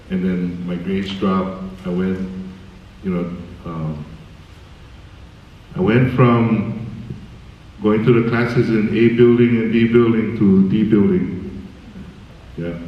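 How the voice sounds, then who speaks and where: Man, very deep voice, joking and easygoing, far from the mic in an echoey hall, dull sound. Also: a little mellow, slight background noise. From American Samoa, raised in Hawaii. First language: Samoan. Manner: joking, laid-back storytelling. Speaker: panel guest artist. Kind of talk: casual Q&A answers. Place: large hall at a film screening.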